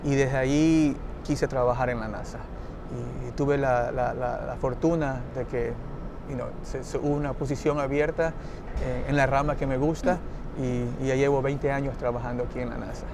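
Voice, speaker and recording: A man's voice: mid-range voice, steady tone, normal speed, noisy background. A man with an Ecuadorian Spanish accent, an engineer in a roundtable conversation, who talks with many restarts and laughs easily. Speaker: NASA engineer.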